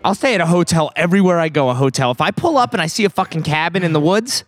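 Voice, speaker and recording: A man's voice: a little high, expressive, fast talker, over music. A man hosting a casual podcast, bantering with friends in a joking, profane way. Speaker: podcast host.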